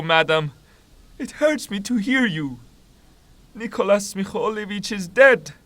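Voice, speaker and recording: An actor playing a fussing, plain-spoken old widowed manservant in a theatrical audio drama, pleading and deferential. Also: male voice, sits high, a bit bright, no room sound. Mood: pained, consoling.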